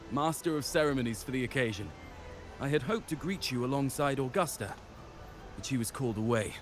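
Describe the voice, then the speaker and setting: A man's voice: medium pitch, lightly acted. A man playing a genial, formal master of ceremonies in a fantasy game, speaking in a ceremonious, reassuring way. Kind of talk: scripted fantasy game dialogue. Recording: music and outdoor ambience behind.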